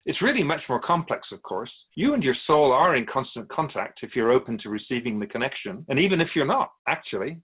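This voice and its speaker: Guy playing wise otherworldly spirit teacher in a radio drama, patient, lilting lively pitch, kinda muffled audio. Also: slightly rough. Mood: patient, instructive. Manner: measured, philosophical, gently instructive. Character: otherworldly male Keeper of Souls, patient, wise, teacherly storyteller. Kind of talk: scripted radio drama dialogue.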